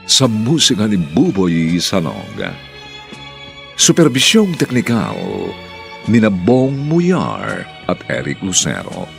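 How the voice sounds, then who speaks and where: A man's voice: fairly low pitch, wildly swooping pitch, slow, gravelly, a bit bright, faint music behind. A man with a Filipino accent announcing for a radio drama in a formal, theatrical, stately scripted style.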